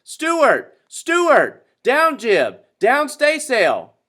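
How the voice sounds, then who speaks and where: Male reader as bossy storybook boat owner: high-pitched, forceful, barking urgent commands. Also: wildly swooping pitch, extremely slow, heavily acted. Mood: frantic commanding. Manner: urgent barked commands, yelling. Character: shouting, bossy male owner of a toy sailboat.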